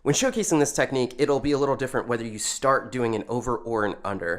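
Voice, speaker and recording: A man's voice: medium pitch, a bit bright. A man giving an informal hands-on demonstration, talking through each movement step by step. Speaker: tutorial presenter. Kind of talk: casual hands-on instructional demonstration.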